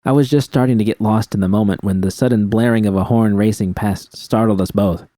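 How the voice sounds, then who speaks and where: Low, mellow male narrator in a dry recording. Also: steady tone, a little fast, dry recording. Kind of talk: scripted first-person fiction narration.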